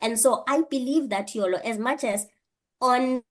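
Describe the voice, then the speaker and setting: A woman's voice: a little high, normal speed. A woman, answering an interview question earnestly, thinking aloud with rhetorical questions and frequent restarts. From South Africa. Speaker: guest, PhD candidate and lecturer.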